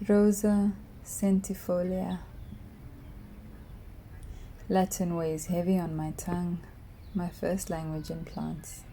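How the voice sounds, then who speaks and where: A woman narrating a poetic piece slowly and softly, sometimes dropping to a whisper. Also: lowish voice, level tone, gravelly, slight background noise. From South Africa. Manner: soft, hushed, drifting into whispers.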